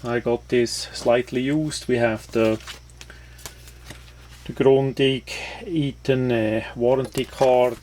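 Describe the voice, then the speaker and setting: Slow, flat-toned man reviewing a product. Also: medium pitch, a little hiss or hum. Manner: halting, hesitant phrasing. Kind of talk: casual unscripted product walkthrough.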